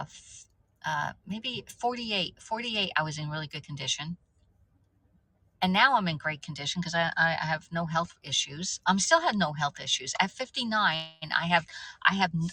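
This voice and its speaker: Woman, 50s, livestream host, chatty and rambling, lowish bright voice. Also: dry recording, rough recording. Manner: rambling, chatty, talks to viewers by name. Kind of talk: casual livestream chat.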